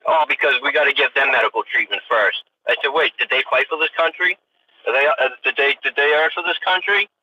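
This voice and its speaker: A man's voice: medium pitch, brisk, bright, forceful tone. An American man in his forties chatting casually on a livestream with emphatic, repetitive opinions and some swearing. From United States. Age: 40s. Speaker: livestream host. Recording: tinny radio-like sound, distant mic, faint noise.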